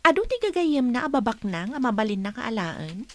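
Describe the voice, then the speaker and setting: A woman's voice: on the high side, very animated, brisk, fairly bright tone, no room sound. An actress playing a chatty, gossiping female office colleague in an Ilocano radio comedy-drama.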